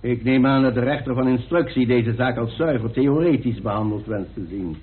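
A man playing a formal, dry-witted coroner in a radio drama, speaking in a measured, authoritative way. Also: medium pitch, natural voice. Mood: stern, pointed. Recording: tinny band-limited old radio recording.

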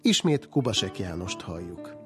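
A man's voice: low voice, sing-song. A man hosting a radio programme, delivering scripted narration and questions to guests. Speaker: radio host. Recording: music under the voice. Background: music.

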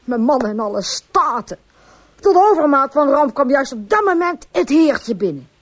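Radio drama role: pleading farmer's wife, high voice, very animated, emotional and earnest. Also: female voice, normal speed. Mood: distressed, confessing. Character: distraught, pleading farmer's wife confessing all.

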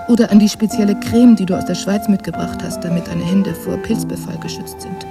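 An actress playing a battered, proud and bitter imprisoned concert pianist in a radio drama, speaking in halting, introspective fragments. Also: female voice, mid-range voice. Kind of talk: German radio drama acting, interior monologue.

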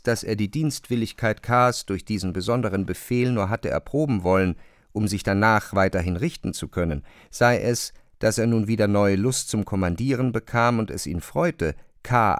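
A man's voice: lowish voice, dry recording. A man narrating a literary radio play from a script. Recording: dry studio.

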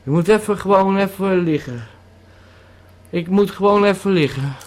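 A man playing a dazed, pleading character in an audio drama, talking in a halting, repetitive and insistent way. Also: high-pitched, natural voice. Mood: dazed, uncertain. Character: dazed, pleading man in Marbella needing a lie-down. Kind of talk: improvised-sounding Dutch audio drama.

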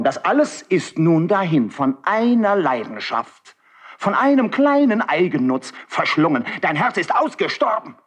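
A man's voice: fairly high pitch, a bit bright, husky, exaggerated voice. An actor playing an idealistic nobleman and conspirator in a classical verse radio drama, earnest, rhetorical and impassioned. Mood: anguished reproach.

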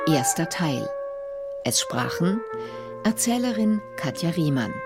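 A woman's voice: sits low, big pitch swings, takes it slowly, over loud music. A woman reading radio drama credits as a formal, scripted announcement.

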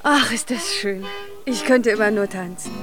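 A woman's voice: mid-range voice, moderate pace, music in the background, lightly acted. An actress playing a cheerful, affectionate young dwarf woman, the smith's girlish daughter, in a children's radio play. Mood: tender. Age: young adult.

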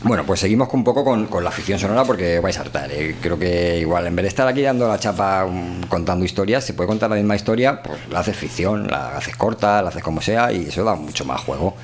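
With faint noise behind him, a man with a rather low voice chats as a radio host. His Castilian Spanish is colloquial and he rambles loosely from thought to thought. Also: lively intonation, fast talker, few pauses. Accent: Castilian Spanish, Spain. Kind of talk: informal, chatty monologue and discussion.

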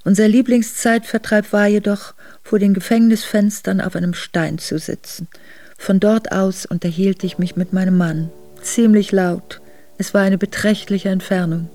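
An actress playing an earnest, reflective exiled noblewoman, narrating her memoir in a measured way for a radio drama. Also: medium pitch, mellow, natural voice. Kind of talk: literary first-person radio drama narration.

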